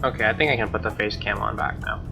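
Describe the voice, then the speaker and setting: A man's voice: fairly low pitch, sing-song, quick pace. A man doing a let's play, reading visual novel narration aloud with casual commentary over game music, on a lo-fi mic.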